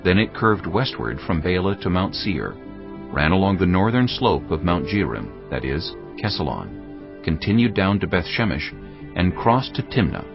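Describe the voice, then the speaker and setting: A man giving a measured, solemn scripted narration of scripture for an audio Bible. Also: low-pitched, sing-song, creaky, highs cut off. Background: prominent background music.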